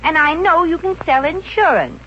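An actress playing a brash, brisk, bossy but soft-hearted working girl in a 1950s radio comedy. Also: very high voice, expressive. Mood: bossy, coaxing. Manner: brisk, wisecracking. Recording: dull, hissy old radio recording.

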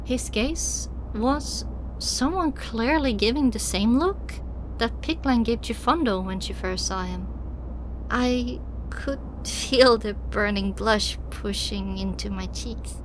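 A woman reading scripted first-person fiction as an audiobook narrator, in an expressive, dramatic way. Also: on the high side, slow, slight background noise, rough recording.

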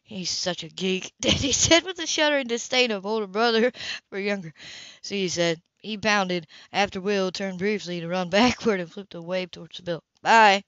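A woman's voice: mid-range voice, brisk, a bit bright, dry recording. A woman reading a novel aloud as an amateur narrator, now and then stumbling and correcting a misread word. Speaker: amateur audiobook reader. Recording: dull, lo-fi home recording.

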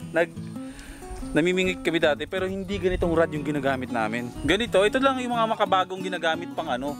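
A man in his thirties from Aklan chatting and laughing as he vlogs outdoors on a farm. He speaks into a camera held at arm's length, with a fairly high voice. Background music plays under his voice. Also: expressive, fast talker. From Aklan, Philippines. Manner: chatty, joking, laughs often. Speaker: vlogger. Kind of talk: casual vlog chat. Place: outdoors at a mango farm by a pond. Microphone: handheld camera at arm's length.

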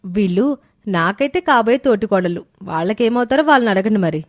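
A woman's voice: medium pitch, animated, brisk, no room sound, clean studio sound, natural voice. A woman voicing a helpful, enterprising young daughter-in-law in a family story, confident and practical. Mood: volunteering.

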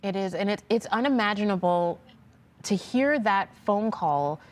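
A middle-aged woman hosting a broadcast interview, building her questions in measured phrases. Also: medium pitch, lively intonation. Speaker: TV host, interviewer. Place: TV studio.

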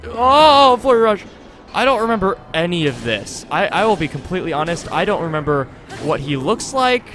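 Animated high-pitched man. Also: a little fast, faint music behind. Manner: animated, chatty, self-correcting. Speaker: host of a gaming series. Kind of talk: casual video game commentary. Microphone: close desktop USB microphone.

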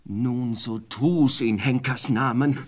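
Fairy-tale audio play: long-suffering peasant husband, husky voice, scolding and cautious. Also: male voice, medium pitch, steady tone, slow. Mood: exasperated, urgent. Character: exasperated peasant husband, cautious, grudgingly giving in.

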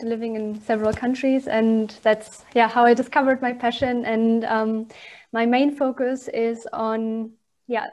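A woman's voice: a little high, a little mellow. Cheerful woman teacher on earphones. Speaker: German teacher. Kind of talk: self-introduction on a video call. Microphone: earphone mic on a video call.

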